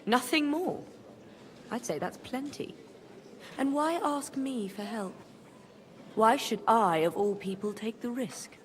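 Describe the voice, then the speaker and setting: A woman's voice: on the high side, slow, pauses often. An actress playing a shrewd, wry widowed medieval noblewoman in a video game, composed and dry in delivery. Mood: coolly probing. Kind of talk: video game dialogue acting.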